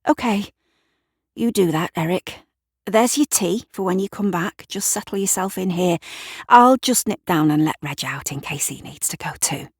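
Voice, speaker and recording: A woman voicing a brisk, bossy but caring female neighbour in an audio drama, insistent and reassuring. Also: on the low side, animated, slightly rough, dry recording, studio quality.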